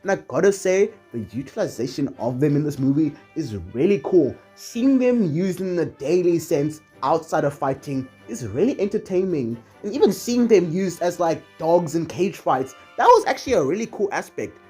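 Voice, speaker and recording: A video essayist with a slightly husky voice, delivering scripted movie commentary in a conversational way with casually wry asides. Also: mid-range voice, big pitch swings, moderate pace, hardly any pauses, dark tone, faint music behind.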